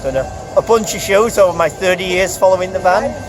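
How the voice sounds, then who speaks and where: A man's voice: high-pitched, brisk, low-quality recording. Reflective man in his forties, music behind. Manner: reflective, detailed. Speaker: longtime concert fan. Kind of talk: casual interview answers. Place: outdoors at a concert pre-party.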